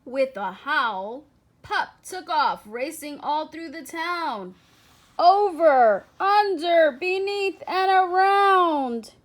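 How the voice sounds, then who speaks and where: A woman reads a children's picture book aloud in a high-pitched voice. Her pace is very unhurried, and she phrases each part deliberately, with expressive emphasis as a storyteller.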